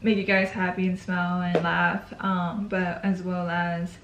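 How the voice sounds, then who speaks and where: A woman's voice: lowish voice, flat delivery, a little slow, reverberant, muffled recording. A woman in her twenties talking casually to the camera in a yearly check-in video, chatty and a bit hesitant, with frequent ums. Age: 20s. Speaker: YouTuber.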